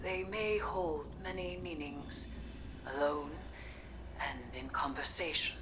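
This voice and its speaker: An actress playing a grave, cryptic occultist in a video game, hushed and portentous like a scholar of dark rites. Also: female voice, deep voice, takes it slowly, background noise. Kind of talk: scripted game monologue.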